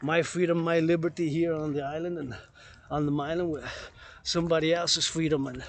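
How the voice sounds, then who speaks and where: A man's voice: a little high, fairly even intonation, fairly quick. A man vlogging casually on an outdoor walk, rambling and circling back to repeat his phrases in a chant-like way. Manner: repetitive, chant-like rephrasing. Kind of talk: rambling outdoor walking vlog, casual chat. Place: outdoors in a park, walking among trees. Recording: noisy outdoor recording with clicks.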